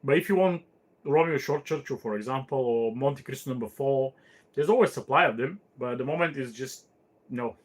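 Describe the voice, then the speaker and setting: A man's voice: mid-range voice, brisk. Meandering, thoughtful podcast host, man. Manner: thoughtful, meandering, with frequent fillers and restarts. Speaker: podcast host answering listener questions. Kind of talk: casual chat.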